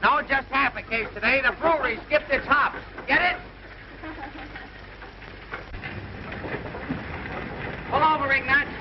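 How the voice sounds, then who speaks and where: An actor playing a flippant, gag-cracking joker in a 1930s film comedy. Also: male voice, very high voice, a little fast, noisy background. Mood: joking. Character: wisecracking small-town joker and would-be radio announcer. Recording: dull 1930s film soundtrack, music behind.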